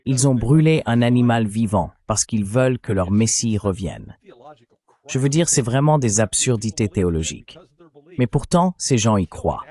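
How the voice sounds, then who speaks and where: A man's voice: medium pitch, lively intonation, normal speed, dark tone, dry recording. A man's synthetic dubbing voice reading translated political commentary as a discussion panelist.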